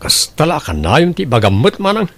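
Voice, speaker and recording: A man's voice: fairly high pitch, wildly swooping pitch, brisk, fairly bright tone, heavily acted. A man playing a bitter, blaming grown son in an audio drama, complaining in an accusing way with an Ilocano accent. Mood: resentful.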